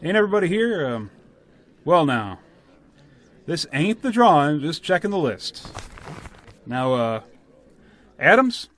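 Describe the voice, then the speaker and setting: An actor playing a genial, businesslike small-town official with a folksy American accent in a radio play. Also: male voice, fairly high pitch, very animated, a bit bright. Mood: genial, brisk. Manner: folksy, jovial, brisk. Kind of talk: radio drama acting.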